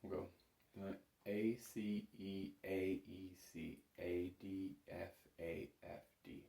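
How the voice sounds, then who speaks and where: A man's voice: sits low, little pitch movement, slow pace, vocal fry, warm tone. A man giving a casual spoken music lesson as an instructor, in an easygoing, reassuring manner. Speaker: bagpipe instructor. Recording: echoey room.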